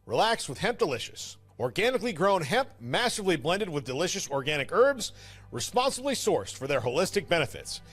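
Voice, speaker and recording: Soothing high male ad voice. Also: big pitch swings, a little fast, fairly bright tone, breathy edge, faint music behind. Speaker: ad announcer. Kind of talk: scripted ad read.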